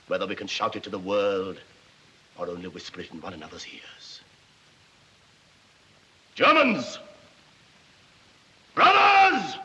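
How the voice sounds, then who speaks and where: Fanatical Nazi officer in a wartime film: declamatory, high-pitched, forceful, dull vintage soundtrack. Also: male voice, exaggerated voice. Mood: zealous, rousing. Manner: declamatory, fervent, grandiose. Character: fanatical Nazi German officer rousing émigrés with a speech. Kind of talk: theatrical political oratory in a 1940s film.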